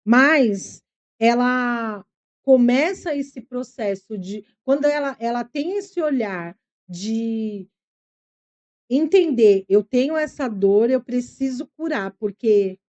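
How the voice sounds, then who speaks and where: A woman's voice: a little high, animated, slow pace, darkish tone, lo-fi sound. A middle-aged woman with a São Paulo Brazilian accent, a psychologist guest on a podcast, speaking in an earnest, reflective way and explaining things patiently. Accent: São Paulo Brazilian Portuguese. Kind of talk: podcast interview, personal storytelling.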